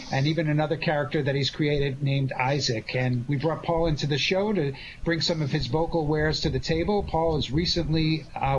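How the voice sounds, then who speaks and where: A man hosting a talk show, introducing cast members in an effusive, complimentary way without a script. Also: on the high side. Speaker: show host. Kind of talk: unscripted cast introductions and questions. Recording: dull lo-fi line with clear noise.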